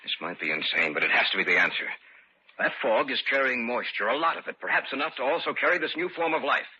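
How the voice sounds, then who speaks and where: An actor playing a grave, urgent scientist in an old-time radio horror drama, intense and ominous. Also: male voice, mid-range voice, expressive, quick pace, bright, forceful tone, husky, heavily acted. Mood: intense, certain. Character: grave, urgent scientist fearing a deadly new life form. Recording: muffled, noisy vintage radio recording.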